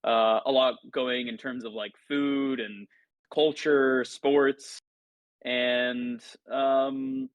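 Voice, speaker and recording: A man's voice: medium pitch, steady tone, very slow, dry recording, lo-fi sound. A man who grew up in Italy, a podcast guest chatting in a loose, enthusiastic, rambling way. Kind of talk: casual podcast chat.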